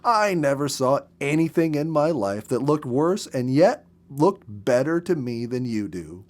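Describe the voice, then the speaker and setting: Man reading as male nature lover, storybook dialogue, affectionate, mock-scolding, teasing, expressive. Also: mid-range voice, measured pace. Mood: fond mock insult.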